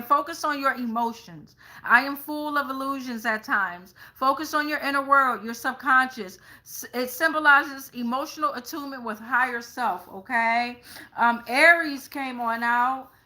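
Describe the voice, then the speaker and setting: High, bright American woman reading tarot. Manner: sassy, freely swearing, emphatic. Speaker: tarot reader hosting a live stream. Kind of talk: casual live tarot reading.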